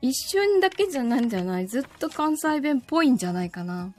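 A woman's voice: sits high, sing-song, quick pace, darkish tone, faint music behind. A woman hosting a casual livestream, chatting and teasing in a giggly way while she greets commenters. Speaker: livestream host and voice actor. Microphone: microphone through an audio mixer.

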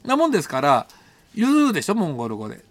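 A man casually telling a personal travel story, colloquial and animated, acting out bits of dialogue. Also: sits high, fast talker, a little hiss or hum. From Japan. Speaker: solo audio storyteller, host.

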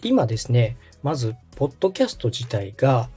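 Brief: thirties male host from Osaka, polite explainer, husky, animated intonation, soft music bed. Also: mid-range voice, brisk. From Osaka, Japan. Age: 30s. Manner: polite, explanatory, many fillers. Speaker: podcast host and radio director. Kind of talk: explaining casual chat.

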